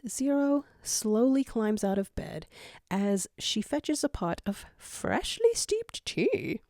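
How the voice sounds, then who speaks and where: A woman's voice: a little high, sing-song, slow pace, warm tone, dry recording, clean studio sound. A woman narrating a scripted story in a dramatic style.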